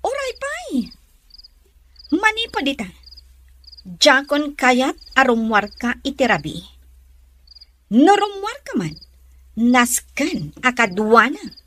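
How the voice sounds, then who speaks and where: A woman playing a jealous, possessive young woman in a radio drama, scolding in a sharp, nagging manner. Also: high voice, wildly swooping pitch, slow, long pauses, slight background noise, exaggerated voice.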